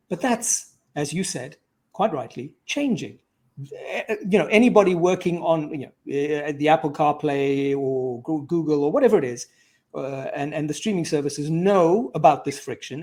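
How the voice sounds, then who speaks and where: Higher-voiced man interviewing, thoughtful and discursive. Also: a little mellow. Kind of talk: conversational interview questioning.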